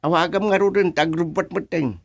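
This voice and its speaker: A man's voice: high voice, fairly quick, vocal fry. A man acting an agreeable village husband and father in an Ilocano radio comedy drama. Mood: agreeable, planning.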